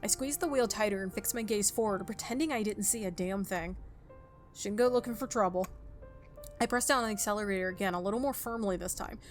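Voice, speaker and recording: A woman hosting a game playthrough, reading a visual novel aloud and thinking out loud in an informal, expressive way. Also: medium pitch, a little fast. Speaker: gaming video host. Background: music.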